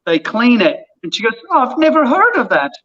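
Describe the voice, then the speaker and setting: A man's voice: extremely high pitch, brisk, lo-fi sound. A man in his thirties telling a story in casual chat, acting out the people in it. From Australia. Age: 30s. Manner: animated, acts out voices in his stories. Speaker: podcast guest.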